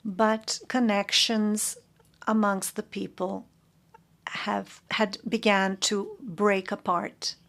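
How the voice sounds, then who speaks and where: A woman interpreting a formal courtroom statement into English, measured and halting, correcting herself as she goes. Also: medium pitch, steady tone, gravelly, a bit bright.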